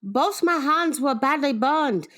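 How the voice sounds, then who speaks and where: A demure, devoted assistant played by a female actor in a radio play. She speaks in a high voice, slowly and deliberately, with grateful deference. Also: animated, sharp tone, full character voice. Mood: wistful, grateful.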